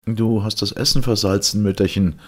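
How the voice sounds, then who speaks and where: A man's voice: on the low side, steady tone, normal speed, pitched above the performer's own voice, lightly acted. A man voicing a poor, henpecked woodcutter husband who pleads and placates in a folktale reading. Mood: timid complaint. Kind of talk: storybook character voice in a folktale reading.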